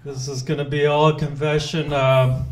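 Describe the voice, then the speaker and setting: A young man doing halting, deadpan stand-up on a handheld mic in a small comedy club. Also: a little high. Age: young adult. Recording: audience laughing in the room.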